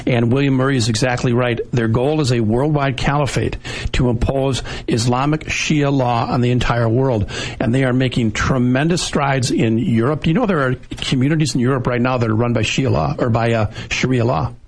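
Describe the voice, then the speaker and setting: Man, radio host, gravelly and husky, earnest, preachy, studio mic. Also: mid-range voice, a little fast. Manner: earnest, preachy, exhorting listeners.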